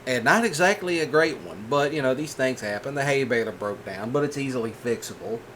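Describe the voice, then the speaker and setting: A man voicing a calm, patient rancher boyfriend in a soft-spoken ASMR roleplay, folksy and reassuring. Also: mid-range voice, fairly quick, slight background noise, close to an everyday voice.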